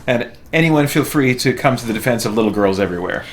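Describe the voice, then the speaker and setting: A man's voice: medium pitch, expressive, fast talker. A man, sounding middle-aged, chatting casually as he streams a video game, with a jokey, self-deprecating manner. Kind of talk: casual chat while playing a video game.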